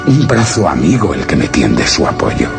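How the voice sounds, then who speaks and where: A man's voice: a bass voice, big pitch swings, creaky, full character voice. An actor playing a brooding exiled man who reads his written account in a solemn, literary Castilian Spanish radio theatre monologue. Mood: wistful, reflective. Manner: solemn, literary, measured delivery. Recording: voice under loud dramatic music.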